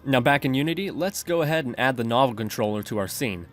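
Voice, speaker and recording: A man with a medium-pitched voice presents a step-by-step software tutorial. His delivery is methodical and instructional, with faint music playing behind him. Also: lively intonation, quick pace. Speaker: programming tutorial host. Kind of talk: scripted tutorial narration.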